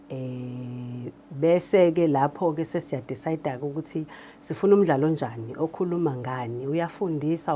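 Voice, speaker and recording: A South African woman whose first language is Zulu gives explanatory answers as a producer. Her voice is notably low and soft-edged. A little room noise sits behind it. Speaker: radio drama producer. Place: radio drama studio.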